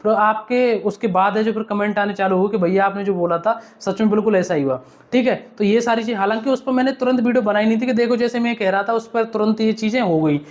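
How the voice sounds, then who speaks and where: A man's voice: sits high, fast. A young man, speaking informally and earnestly to viewers like a mentor, repeating points and often checking they follow. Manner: earnest, repetitive, uses frequent check-in tags. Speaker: exam-update video creator and mentor. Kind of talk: informal advice vlog to viewers.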